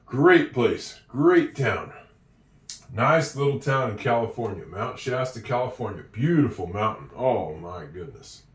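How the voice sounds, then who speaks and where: A man's voice: medium pitch, animated, measured pace, distant mic. A man from Oregon tutoring a child in handwriting, chatty and encouraging as he talks straight to the viewer. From Oregon, USA. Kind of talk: slow, patient writing lesson. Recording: echoey room, voice away from the mic.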